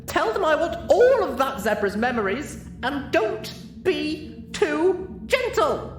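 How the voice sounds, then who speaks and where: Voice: high-pitched, very animated, slow, distant mic, voice pushed up, exaggerated voice. A storyteller voicing a theatrical pink cartoon pony mare with an exaggerated, sing-song manner. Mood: angry, commanding. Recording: roomy echo, clearly noisy background.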